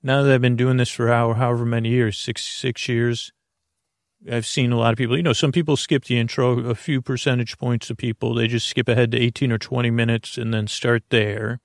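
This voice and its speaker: A man with a creaky voice hosting a bedtime podcast in a slow, halting, soothing ramble full of tangents. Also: medium pitch, level tone, no echo, studio quality. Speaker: podcast host.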